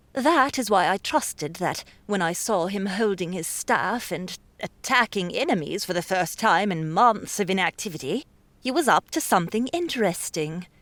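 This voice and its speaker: Bold, swooping actress's imperious princess. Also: female voice, medium pitch, dry recording, lightly acted. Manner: brash, theatrical, bossy. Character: bold, imperious princess gamer, flowery and impatient. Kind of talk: dramatized audiobook acting.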